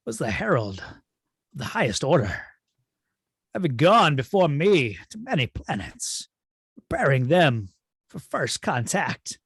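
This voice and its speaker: A cold, imperious alien android villain, acted by a man in a dramatic script reading. The voice is husky and slow, with grandiose swings in pitch. Every phrase is delivered deliberately. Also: sing-song, a bit bright, no room sound, exaggerated voice. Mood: grandly solemn. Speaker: table-read performer. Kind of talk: dramatic script read-through.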